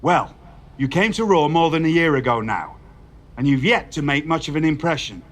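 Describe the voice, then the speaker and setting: A stern older wrestling general manager, measured and formal in a video game cutscene. He lectures a young wrestler in slightly raised tones. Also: a little high, expressive.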